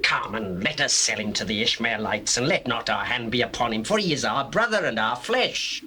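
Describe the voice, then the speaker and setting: A persuasive, calculating shepherd brother in a scripture drama. He speaks in measured phrases with a very bright, forceful edge. Faint noise is on the tape. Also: mid-range voice, expressive. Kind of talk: dramatized scripture, stage-trained acting.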